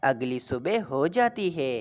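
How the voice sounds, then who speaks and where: A woman's voice: rumbling low, very animated, slow pace, darkish tone. A woman narrating a scripted children's story as its storyteller.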